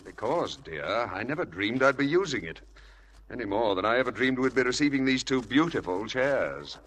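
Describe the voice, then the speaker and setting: An actor playing a charming, feckless young husband in a 1940s radio drama, flippant, glib and coaxing. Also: male voice, medium pitch, big pitch swings, moderate pace, a bit bright. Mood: smug. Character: charming, feckless spendthrift husband, breezy and evasive. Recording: hissy old radio broadcast.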